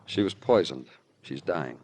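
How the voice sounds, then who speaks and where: An actor playing a terse, insistent American police detective in an old-time radio crime drama. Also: male voice, rumbling low, expressive, a little slow, darkish tone, exaggerated voice. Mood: terse, pressing. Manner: clipped, probing, insistent. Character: terse, hard-boiled male police detective, pressing and unsentimental. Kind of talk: 1940s-50s American radio drama acting. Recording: vintage radio recording.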